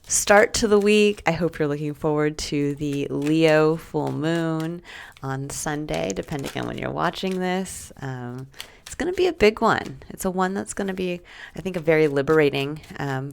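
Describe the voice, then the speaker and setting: A woman reading tarot cards for the week ahead, talking gently and reflectively with soft asides; she is sick and losing her voice. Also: low voice, normal speed, creaky, a little hiss or hum. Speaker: tarot reader. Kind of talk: weekly tarot reading to camera.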